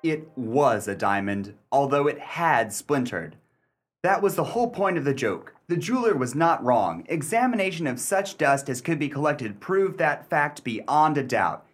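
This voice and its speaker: An actor playing a formal, articulate gentleman adventurer in a radio play, measured and dryly scrupulous. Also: fairly high pitch, very animated. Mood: dry, reflective. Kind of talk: radio play acting and narration.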